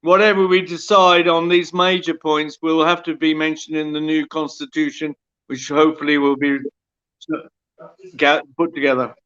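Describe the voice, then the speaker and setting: A man speaking on a committee call, halting with many pauses between phrases. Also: on the high side, little pitch movement, a bit bright, smooth. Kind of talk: committee meeting discussion. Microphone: online call. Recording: dull lo-fi call audio.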